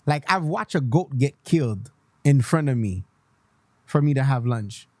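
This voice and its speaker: A man's voice: mid-range voice, expressive, unhurried, no echo. A young man from Haiti, hosting a radio show in a casual, passionate way, switching between English and Creole and repeating himself for emphasis. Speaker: radio host.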